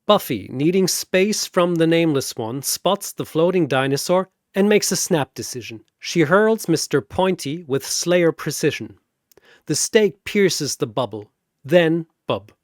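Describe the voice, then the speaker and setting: A man's voice: a little high, expressive, a little slow, dry recording, clean studio sound. A man narrating a scripted fantasy battle story in a dramatic storytelling style.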